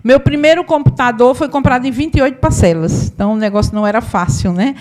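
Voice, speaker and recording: A woman's voice: fairly high pitch, fast, darkish tone. Woman telling her story. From Paraíba, Brazil. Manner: conversational, often asks the audience "né?". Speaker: accountant and business owner giving a talk. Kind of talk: live storytelling talk to an audience.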